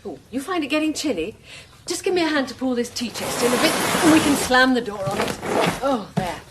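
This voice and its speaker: An actress playing a polite, chatty married newcomer in a radio drama, with a British accent and a genteel, solicitous manner. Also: female voice, high-pitched, expressive, brisk, heavy background noise. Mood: hospitable, chatty.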